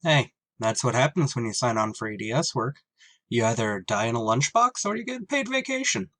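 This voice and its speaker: A man's voice: mid-range voice, big pitch swings, brisk, fairly bright tone, no echo, clean studio sound. A man acting a wry, duty-bound shuttle pilot in a scripted retro radio drama. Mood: wry, dutiful. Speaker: actor and first-person narrator in an audio drama. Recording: clear background noise.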